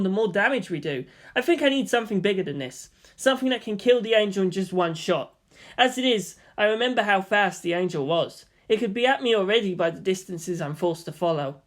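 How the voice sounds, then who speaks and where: A woman voicing an earnest, odd teenage boy pilot with a dramatic, deliberate manner. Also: medium pitch, pitched above the performer's own voice, natural voice.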